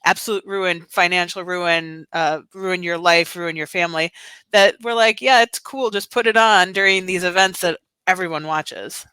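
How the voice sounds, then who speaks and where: Lady podcast host, chatty with lots of ums, bright smooth voice. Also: on the low side, normal speed, dry recording. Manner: chatty, lots of fillers and hedges. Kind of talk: casual podcast chat.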